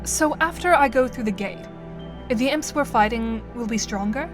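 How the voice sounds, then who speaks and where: A woman's voice: fairly high pitch, normal speed, over music, lightly acted. A woman playing an inquisitive, skeptical teenage girl in an audio drama, with a precise, dry, questioning manner. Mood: probing, skeptical.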